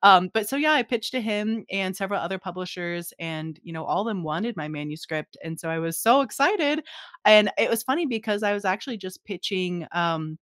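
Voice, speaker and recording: A woman in her thirties speaking on a video call through a headset mic, earnest and reflective, with a light laugh here and there. Her voice sits a little low, and the sound is close and dry. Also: a bit bright, no echo. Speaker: guest author. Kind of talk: casual interview chat. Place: home room, indoors. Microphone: headset with desk microphone, video call.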